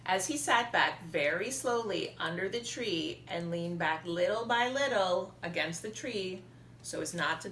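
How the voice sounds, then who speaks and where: A woman preschool teacher reading a storybook aloud to young children, expressive and deliberate in her emphasis. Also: mid-range voice. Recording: echoey room with faint noise.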